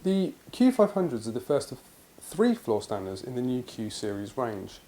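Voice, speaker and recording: A man's voice: mid-range voice, sing-song, normal speed, warm tone. A British man presenting a product review in an explanatory, matter-of-fact way, partly scripted.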